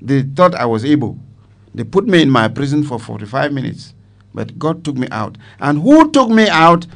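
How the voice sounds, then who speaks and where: A man's voice: mid-range voice, big pitch swings, moderate pace, gravelly. An older man with a Cameroonian accent, a retired army commander, recounting his memories in an interview with emphatic repetition. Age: older, 70s or more. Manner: emphatic, repeats phrases for weight.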